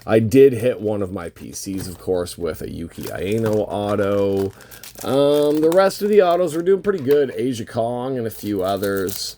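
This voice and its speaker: Hoarse, creaky-voiced man rambling casually. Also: fairly low pitch, expressive, moderate pace, warm tone, a little hiss or hum. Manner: rambling, thinking aloud. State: losing his voice from a lingering cold. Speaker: host of a trading card box-break channel. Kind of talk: casual unscripted card-opening commentary.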